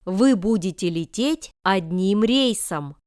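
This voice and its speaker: A woman reading example sentences for language learners with careful, deliberate enunciation. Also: medium pitch, lively intonation, darkish tone, smooth, no room sound, studio quality. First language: Russian. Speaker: language lesson reader.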